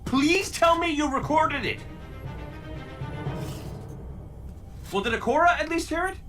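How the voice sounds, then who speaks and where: An actor playing a cocky, wisecracking robot gunslinger in a video game cutscene, talking in a flippant, theatrical ramble. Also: male voice, very high-pitched, lively intonation, brisk, fairly bright tone, soft background music. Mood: pleading, excitable.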